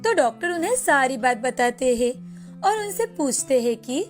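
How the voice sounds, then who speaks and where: A woman's voice: high voice, big pitch swings, normal speed, faint music behind. A woman narrating a scripted moral story as the storyteller.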